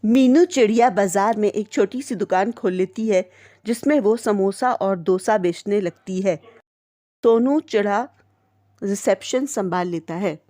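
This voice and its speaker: A woman's voice: mid-range voice, unhurried, mellow. A woman narrating a scripted children's cartoon story.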